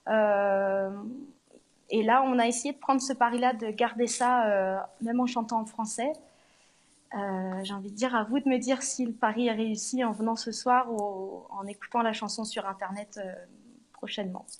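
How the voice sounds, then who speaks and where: Woman singer, guest interview at a venue; slightly high, hesitant, many fillers. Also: fairly even intonation, moderate pace. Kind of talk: live interview on location. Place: arts centre venue.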